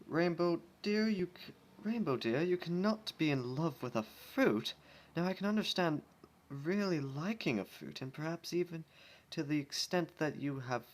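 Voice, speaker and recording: Man putting on a prim, high-pitched lady pony. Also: expressive, normal speed, natural voice. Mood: prim disbelief. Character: prim, fussy ladylike female pony, gently scolding.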